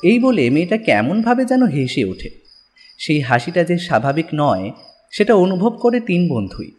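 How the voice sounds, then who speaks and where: A man's voice: fairly high pitch, sing-song, normal speed, darkish tone, slightly rough. A man narrating a scripted horror story in a dramatic style.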